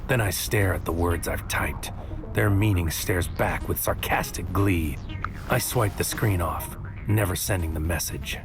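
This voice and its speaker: A man's voice: low-pitched, unhurried, over music. An actor playing an anxious, brooding agent who tells his own story in a noir audio drama, in terse poetic fragments. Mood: brooding, tense. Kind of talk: first-person noir audio drama narration.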